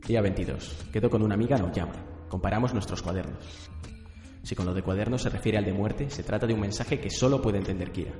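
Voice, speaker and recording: Casting: arrogant young male villain, acted by a man, lowish, echoing, disdainful, radio play. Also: fast, dark tone, natural voice. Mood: scheming. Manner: scheming, disdainful. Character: arrogant, calculating young male secret killer. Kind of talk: radio drama acting. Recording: echoey long reverb, faint music.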